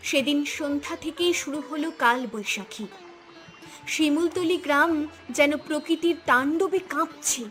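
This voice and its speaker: High-pitched woman narrating a dramatic story. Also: normal speed, a bit bright, soft background music.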